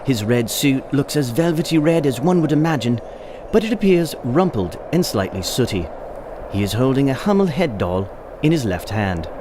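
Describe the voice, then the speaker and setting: A man's voice: medium pitch, very animated, moderate pace, noisy background, lightly acted. A man narrating a scripted audio play in a descriptive storytelling manner.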